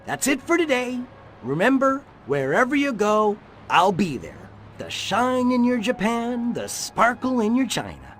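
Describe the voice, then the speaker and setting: A man voicing a grandiose, excitable hippie cult guru in a video game, preachy and theatrical. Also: very high-pitched, a little slow, a little hiss or hum, exaggerated voice. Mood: serene, seductive. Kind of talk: video game cutscene voice acting.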